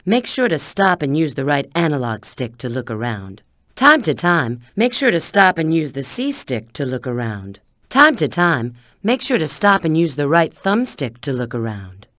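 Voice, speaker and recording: A woman's voice: a bass voice, dry recording, studio quality. A woman voicing a confident, teasing bat treasure hunter in a video game, coy and instructive. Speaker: video game character giving hints. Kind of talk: video game voice acting, tutorial prompts.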